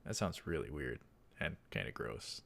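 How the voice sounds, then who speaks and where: Gravelly low-voiced man doing game commentary. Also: expressive, moderate pace, fairly bright tone, slight background noise. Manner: rambling, self-correcting asides. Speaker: let's play host reading a visual novel. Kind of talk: casual gaming commentary mixed with aloud reading.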